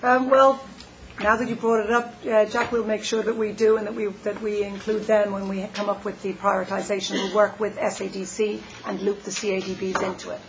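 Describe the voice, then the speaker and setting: Woman, gravelly, measured, hesitant, presenting at a public meeting. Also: medium pitch, little pitch movement, noisy background. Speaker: planning staff presenter.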